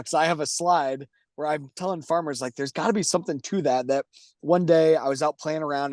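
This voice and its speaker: A man hosting a webinar talks with eager enthusiasm, full of restarts and fillers. His voice is a touch higher than usual for a man. The sound is dry and close, with no room around it. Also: a little mellow, no room sound. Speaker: webinar host. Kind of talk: casual webinar discussion.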